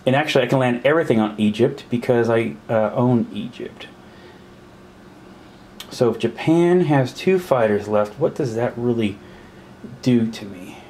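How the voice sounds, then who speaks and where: A man's voice: mid-range voice, a little mellow, a little hiss or hum. Man thinking aloud over a board game. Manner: counting pieces aloud, pausing to puzzle over rules. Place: indoors at a table.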